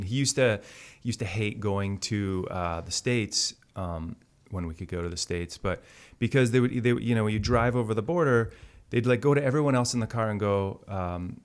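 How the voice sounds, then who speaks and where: Deep-voiced, hesitant man. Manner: hesitant, trailing off mid-sentence. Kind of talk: casual chat.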